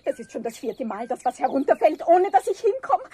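A woman's voice: sits high, big pitch swings, brisk, mellow. An actress playing a flustered, pleading woman caught stealing, in a 1970s radio play. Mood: pleading, frightened. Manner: nervous, pleading, stammering. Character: flustered middle-aged woman caught thieving.

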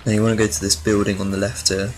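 A man with a fairly low voice talks through a video game in a casual guide style. He is recorded with audible noise and game ambience behind him. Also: little pitch movement, racing. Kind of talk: casual walkthrough commentary over gameplay.

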